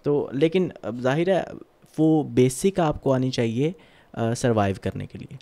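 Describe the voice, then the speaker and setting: Man, podcast host, warm dark tone, measured and curious. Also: mid-range voice, lively intonation. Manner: measured, questioning, frequent hums of agreement. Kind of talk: podcast interview.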